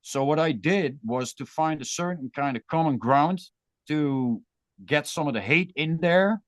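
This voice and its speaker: A man's voice: on the high side, unhurried, no echo. Dutch man, dry close sound. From Netherlands. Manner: thoughtful, rambling with restarts. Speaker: guest musician. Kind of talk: casual interview chat.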